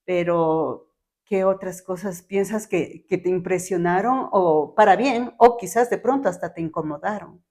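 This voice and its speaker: Anfitriona ecuatoriana, voz algo grave y amable. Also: female voice, fairly low pitch, a little slow, darkish tone. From Ecuador. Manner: friendly, asks questions, affirming. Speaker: podcast host. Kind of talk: casual interview chat.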